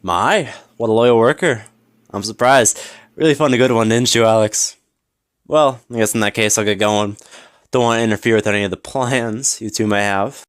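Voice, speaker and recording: A man playing a smug, flirtatious businessman in an audio roleplay, teasing and smooth-talking with mock politeness. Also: fairly low pitch, moderate pace, no room sound, lightly acted. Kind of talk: scripted audio roleplay acting.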